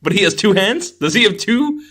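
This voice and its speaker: A man co-hosting a podcast in playful, expressive casual chat, often repeating short phrases for emphasis. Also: high-pitched, moderate pace. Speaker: podcast co-host. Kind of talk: casual chat about beer and films.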